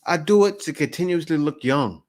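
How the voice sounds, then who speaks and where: A man's voice: fairly high pitch, expressive, fairly quick, darkish tone. A man in his forties giving loose, conversational reaction commentary and repeating himself. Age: 40s. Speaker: host reacting to a video.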